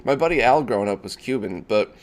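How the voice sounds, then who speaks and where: A man hosts a relaxed live stream from a video call, talking freely and laughing easily. His voice sits at an ordinary middle pitch. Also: fast. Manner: chatty, laughs often. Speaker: host. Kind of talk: casual chat on a live stream.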